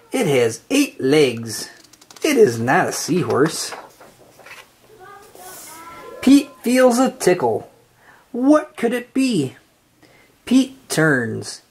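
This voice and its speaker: A woman reads a picture book aloud to young children, taking her time over each line. Her voice climbs and dips through a lively, sing-song melody, with a soft, breathy edge. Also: medium pitch. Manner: slow, expressive, sing-song storybook delivery. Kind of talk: read-aloud storytelling for kids.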